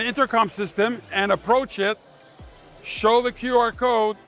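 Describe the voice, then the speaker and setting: A man's voice: high-pitched, fairly bright tone. A man giving a live sales demonstration of a product, speaking in emphatic short phrases like a salesman. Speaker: sales manager presenting a product. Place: trade show demonstration.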